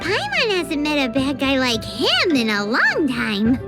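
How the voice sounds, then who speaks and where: A woman voicing a small, chirpy, childlike fairy companion with a squeaky voice in a video game. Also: wildly swooping pitch, a little slow, full character voice. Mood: chirpy, chatty. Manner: refers to self in third person, exclamatory. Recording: music behind the voice.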